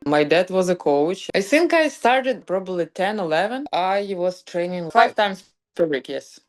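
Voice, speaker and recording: A woman's voice: fairly low pitch, expressive, measured pace. A woman from Ukraine with a Ukrainian accent, a professional beach volleyball player telling her story plainly in an interview. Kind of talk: recorded interview.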